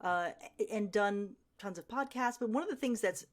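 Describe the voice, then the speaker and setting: A woman's voice: medium pitch, moderate pace. A woman co-hosting a podcast, interviewing a guest in a casual, conversational way. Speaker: podcast co-host.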